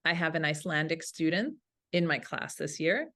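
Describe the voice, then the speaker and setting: A woman's voice: deep voice, animated, moderate pace, fairly bright tone. A woman teacher giving an instructional webinar talk to colleagues in an enthusiastic, informal way. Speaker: language teacher presenting a webinar.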